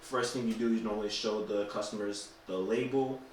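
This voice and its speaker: A man co-hosting a podcast, calmly chatting and explaining how to present a wine. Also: lowish voice, brisk, a little hiss or hum. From Haitian and Cape Verdean family. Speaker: podcast co-host. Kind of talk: casual chat, presenting a wine. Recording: echoey room, mic far off.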